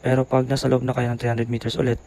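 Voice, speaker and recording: A man's voice: medium pitch, monotone, rapid-fire, gravelly, dark tone. A man with a Filipino accent gives a casual voice-over product review, keeping his voice down. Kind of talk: casual voice-over review mixing Tagalog and English. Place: boarding house room, voice-over. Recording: faint background noise.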